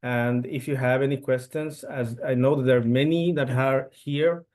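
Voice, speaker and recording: Brief: man from India, native Telugu speaker, mid-pitched voice, halting webinar presenter, lots of ums and restarts. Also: steady tone, darkish tone. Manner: hesitant, many fillers and restarts. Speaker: event speaker. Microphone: computer mic on video call.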